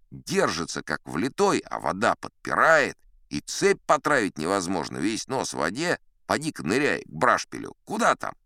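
An actor playing a chatty, boastful old sea captain, spinning tall tales in a theatrical audiobook manner. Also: male voice, fairly low pitch, fairly bright tone, no echo, studio quality. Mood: animated recounting. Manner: theatrical, leisurely, old-fashioned conversational raconteur. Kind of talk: dramatized audiobook storytelling.

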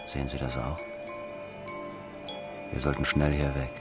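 An actor playing a mysterious, fatherly older man with a measured, cryptic way of talking in a radio drama. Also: extremely low pitch, level tone. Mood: urgent, businesslike. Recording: music behind the voice. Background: music.

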